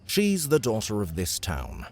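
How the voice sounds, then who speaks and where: A gracious fantasy king for an audiobook. His voice is fairly low and swings expressively, with courtly, formal phrasing and a genial temper. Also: huge pitch swings, a little slow, creaky, darkish tone, heavily acted. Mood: explaining. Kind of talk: audiobook character acting.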